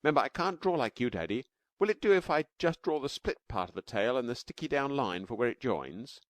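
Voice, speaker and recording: A man voicing an eager, chattering little cave girl with a high, squeaky voice. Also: lively intonation, brisk, dry recording, close to an everyday voice.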